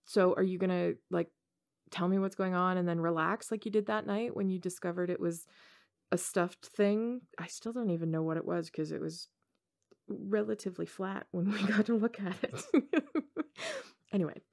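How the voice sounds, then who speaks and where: Casting: a woman to play a college-age tomboy gamer girl for intimate ASMR roleplay; teasing, slangy, casual, with noticeable vocal fry. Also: on the low side, normal speed, darkish tone. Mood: playful, relaxed. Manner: casual, slangy, teasing, frequent chuckles and hesitations.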